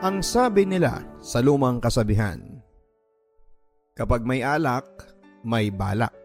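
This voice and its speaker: A man's voice: mid-range voice, lively intonation, unhurried, a little mellow. A man with a Filipino accent reading listener letters as a radio storyteller and host. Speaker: host and narrator of a story show. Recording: background music under the voice. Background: music.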